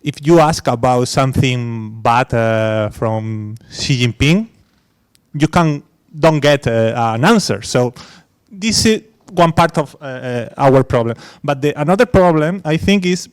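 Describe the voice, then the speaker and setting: A man's voice: medium pitch, expressive, measured pace, smooth. A man from Spain, an English speaker, talking on a conference panel with many fillers and restarts. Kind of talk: academic panel discussion.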